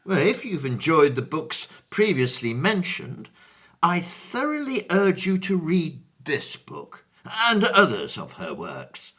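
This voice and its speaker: Man as an elderly-mannered 1820s gentleman, bright lively voice, slow and dithering. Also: fairly high pitch, heavily acted. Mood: courteous. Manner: hesitant, full of ums and uhs, fussy and grand. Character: distinguished 1820s gentleman, bookish, genial and fussy. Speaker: actor. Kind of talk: amateur stage play audio.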